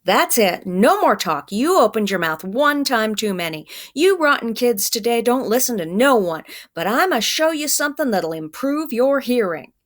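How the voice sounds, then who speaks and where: A woman's voice: fairly high pitch, sing-song, moderate pace, hardly any pauses, voice pushed up. A woman voicing a stern, threatening man who guards a food line in a children's storybook, scolding in folksy, ungrammatical speech. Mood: menacing. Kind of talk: children's storybook read-aloud.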